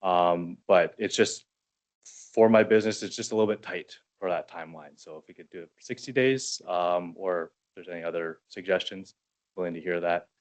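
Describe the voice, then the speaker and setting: A man who owns a business, asking a council for a permit change, hesitant and filling pauses with ums. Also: low-pitched. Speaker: business owner applying for a permit.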